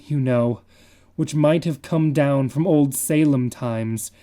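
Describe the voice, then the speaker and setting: Male reader for a horror story, slow and confiding, mellow dark tone. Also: on the high side. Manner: measured, confiding, dramatic. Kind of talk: dramatic reading of a horror story.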